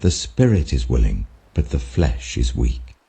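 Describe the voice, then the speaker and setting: A man with a British accent voicing a grave, sorrowful but commanding male teacher in a scripture reading, husky and weighty. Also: very animated, warm tone, heavily acted. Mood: grave urgency. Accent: British Received Pronunciation. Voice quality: deep, husky. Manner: slow, weighty, admonishing.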